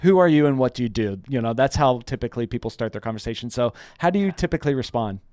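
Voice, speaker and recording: A man hosting a podcast interview, greeting and questioning his guest in an energetic, playful way. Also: medium pitch, fast, no room sound. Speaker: podcast host.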